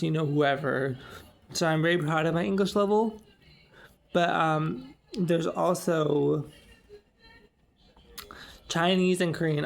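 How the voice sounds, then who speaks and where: A young man in his twenties talks to the camera in a loose, rambling vlog style, with many fillers and restarts. His voice is strongly gravelly, with heavy fry, and sits a little high for a man. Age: 20s. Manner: rambling, lots of "like" and "um". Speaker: vlogger. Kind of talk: casual vlog chat.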